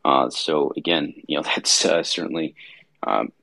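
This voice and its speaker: A tired man with a raspy voice hosting a casual market-review chat, rambling with many fillers and restarts. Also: extremely low pitch, a little slow, sharp tone, dry recording, rough recording. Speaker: host.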